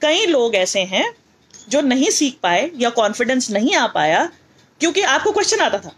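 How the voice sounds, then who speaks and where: A middle-aged woman with a North Indian accent speaks in a high voice as a motivational teacher. She mixes Hindi and English, repeats key phrases for emphasis and poses rhetorical questions to her learners. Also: very animated, normal speed, slightly rough.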